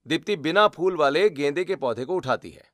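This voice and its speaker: A male narrator reads a scripted tale. His voice sits on the higher side and swings widely in pitch from phrase to phrase. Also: lively intonation, a little fast, noisy background. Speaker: story narrator.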